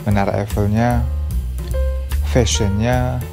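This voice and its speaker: A man's voice: lowish voice, fairly even intonation, takes it slowly, gravelly, music in the background. A man, casually telling his own story in a vlog. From Indonesia. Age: 20s. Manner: casual and earnest, with light English asides. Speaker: vlogger.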